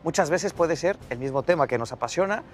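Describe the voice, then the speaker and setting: A man's voice: a little high, animated, a little fast, a little hiss or hum. A man working as a news presenter, delivering scripted narration and interview questions.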